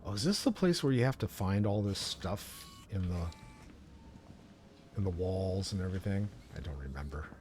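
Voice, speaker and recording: A man's voice: low-pitched, lively intonation, normal speed, a little mellow, a little hiss or hum. A man streaming a video game, casually thinking aloud in rambling commentary as he plays. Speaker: livestream host playing a video game.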